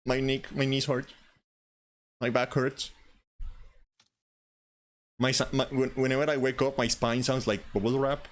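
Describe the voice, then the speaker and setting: A man's voice: medium pitch, level tone, measured pace, pauses often. A man in his late twenties chatting casually and comically as a streamer, complaining in an exasperated way and swearing freely. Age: late 20s. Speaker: online streamer, podcast host. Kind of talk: casual comedic chat.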